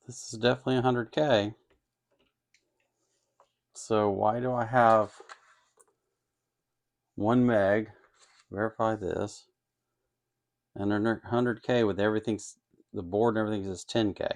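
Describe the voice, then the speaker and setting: Man, low-pitched, heavy vocal fry, casual workbench narration, unscripted, musing, hesitant. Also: steady tone, a little slow, long pauses. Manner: thinks aloud, musing and hesitant. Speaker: host of an electronics kit-building channel.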